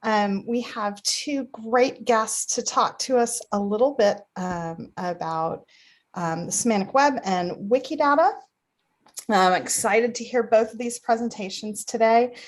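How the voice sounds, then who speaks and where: A woman's voice: fairly high pitch, unhurried. A woman moderating an online session and introducing the speakers, with frequent "um" fillers. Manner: friendly, many "um" fillers. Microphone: video call.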